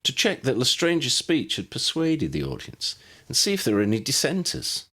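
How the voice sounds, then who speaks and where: A man acts out a confident young witch in an audiobook. The voice is brisk and pushed well up in pitch, very animated in its rise and fall. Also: full character voice. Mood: brisk, explaining.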